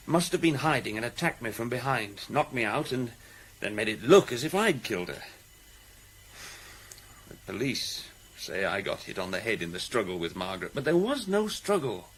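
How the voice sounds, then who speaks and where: An actor in a British radio drama playing an anxious accused man, speaking in a halting, troubled, earnest way. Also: medium pitch, slightly rough, rough recording. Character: anxious accused man protesting his innocence.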